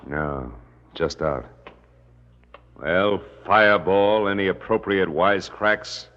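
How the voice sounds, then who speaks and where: Deep-voiced hard-boiled American private eye. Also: male voice, slow. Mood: dry, teasing. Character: wry, world-weary hard-boiled male private detective. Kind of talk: 1940s radio noir narration and acting. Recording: dull vintage radio recording with music.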